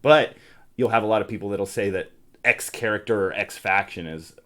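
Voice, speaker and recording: A man's voice: lowish voice, a little fast, fairly bright tone. A man hosting a casual board game podcast, chatty and explanatory, prompting the others with questions. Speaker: podcast host.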